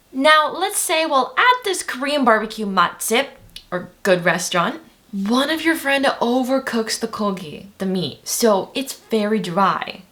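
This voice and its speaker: Lively, high-voiced woman teaching. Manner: chatty, playful, addresses viewers as "you guys". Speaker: language teacher. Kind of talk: upbeat video lesson.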